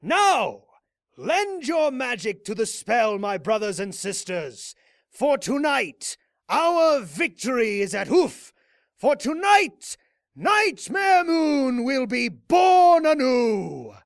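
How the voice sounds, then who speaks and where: A man voicing a fanatical unicorn cult leader in a fantasy story, orating grandly like a preacher with dramatic pauses. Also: very high-pitched, very animated, creaky, no echo, studio quality, voice pushed up, full character voice. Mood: triumphant, rousing. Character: fanatical male unicorn cult leader rallying his followers.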